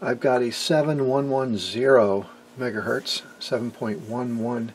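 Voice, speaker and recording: A man giving an informal hands-on demonstration as a hobbyist, explaining things in a hesitant way with pauses mid-phrase. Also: medium pitch, fairly even intonation, a little hiss or hum, low-quality recording. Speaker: amateur radio hobbyist and presenter.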